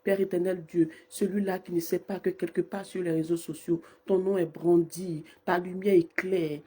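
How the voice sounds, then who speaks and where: A woman with a cold leads a streamed morning prayer, her voice hoarse and husky. She keeps a dark, mellow tone and a flat, level delivery. She builds fervent, repeated invocations. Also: lowish voice, moderate pace. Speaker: prayer leader.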